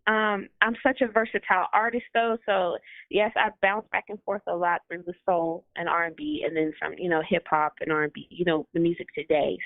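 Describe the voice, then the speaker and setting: A woman's voice: medium pitch, no room sound. A woman musician talks about her music in a loose, chatty way and apologizes for long answers. Her voice comes through a thin, phone-like line with no room sound. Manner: chatty, apologetic, laughs often. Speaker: guest musician. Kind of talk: casual interview answers. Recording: tinny phone or call line.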